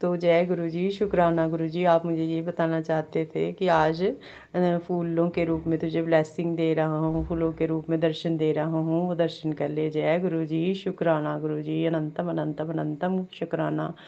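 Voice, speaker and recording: A woman with a sore throat sharing a devotional testimony, halting with many fillers and repeated blessings. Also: low-pitched, little pitch movement, gravelly, warm tone, muffled recording. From Jammu.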